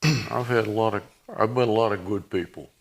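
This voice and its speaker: A man in his eighties, a veteran guest reminiscing in plain-spoken, halting, folksy talk. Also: lowish voice, vocal fry. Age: 80s. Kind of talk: reminiscing in a conversation.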